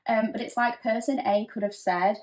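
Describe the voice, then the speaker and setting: Woman giving a gentle tarot reading. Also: on the high side, fairly even intonation, moderate pace. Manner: gentle, reassuring, conversational with frequent fillers. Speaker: tarot reader. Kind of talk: pick-a-card tarot reading.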